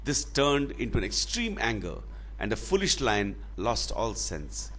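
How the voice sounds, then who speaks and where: A man telling a children's fable in a dramatic, expressive way, with deliberate phrasing. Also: on the low side. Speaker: storyteller.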